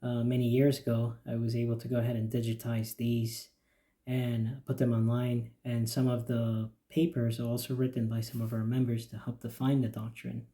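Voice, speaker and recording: A man explaining the features of a church website in a steady, plain walkthrough with occasional fillers. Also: medium pitch, moderate pace. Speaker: church website developer giving a demo. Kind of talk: screen-recorded software walkthrough.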